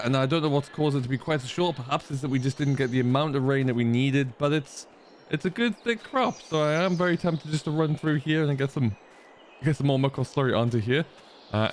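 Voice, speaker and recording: A man with a mid-pitched voice hosts a farming game roleplay in a casual, chatty way. He rambles and thinks aloud as he talks through the work. A faint layer of vehicle noise and ambience sits behind him. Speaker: host of a farming simulator roleplay series. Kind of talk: casual gameplay commentary in farmer roleplay.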